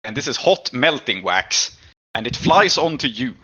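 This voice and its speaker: A man's voice: medium pitch, very animated, normal speed, sharp tone, slightly rough, dull audio. A man acting as game master, explaining rules and narrating what happens in a tabletop role-playing game.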